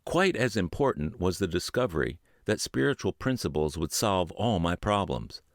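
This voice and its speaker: Low-voiced man narrating an audiobook. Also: very animated, moderate pace, no room sound, studio quality. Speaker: audiobook narrator and podcast host.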